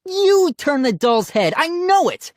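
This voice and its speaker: A woman voicing an indignant young boy in a children's scary audiobook, loudly protesting and accusing. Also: high-pitched, big pitch swings, measured pace, no echo, clean studio sound, pitched above the performer's own voice. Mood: angry accusing. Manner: loud, protesting, screaming.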